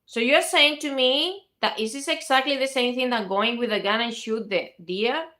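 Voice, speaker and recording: A woman's voice: on the high side, moderate pace, sharp tone. A woman from Spain with a Spanish accent, arguing insistently in a heated debate and often talking over the other person. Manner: insistent, interrupting, laughs often. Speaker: vegan activist host.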